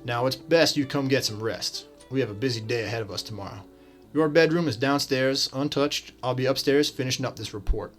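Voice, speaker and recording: A man playing an earnest, modest, principled detective and mentor in a radio drama, measured and serious. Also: mid-range voice, soft background music, natural voice. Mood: serious, confiding.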